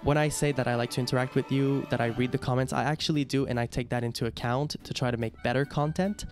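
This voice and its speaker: A man with a Québécois French accent hosts a casual ranking video in English, his second language. He talks in a friendly, halting way with frequent "uh" and "um", at a mid pitch, with light music playing softly underneath. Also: fairly even intonation. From Quebec, Canada. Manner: friendly, hesitant with many fillers. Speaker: YouTube host.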